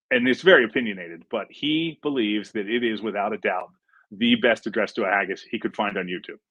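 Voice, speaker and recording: A man hosting a casual livestream, chatty and relaxed, with frequent fillers. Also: fairly low pitch, husky, dry recording, muffled recording. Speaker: film director hosting a livestream.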